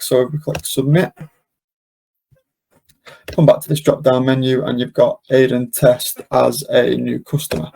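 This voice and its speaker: A man presenting an informal software walkthrough, explaining casually step by step. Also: medium pitch, creaky. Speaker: software demonstrator, webinar presenter. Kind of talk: informal screen-share walkthrough.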